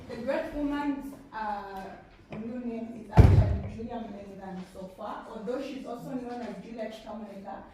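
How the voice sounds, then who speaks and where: A young woman from Zambia with a Zambian accent gives an informal presentation as a student panelist. Her voice is slightly high and conversational, with small asides. It is picked up from a distance in a very reverberant room. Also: moderate pace. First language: a Zambian language. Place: large echoey room at a public event. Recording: very echoey room, distant mic, faint noise.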